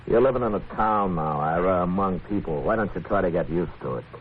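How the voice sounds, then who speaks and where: An extremely low-voiced American lawman from a 1950s radio western. He is stern, steady and terse, giving orders in a firm deadpan. The sound is a narrow, crackly vintage broadcast. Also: fairly quick. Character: stern, steady frontier town marshal, a man.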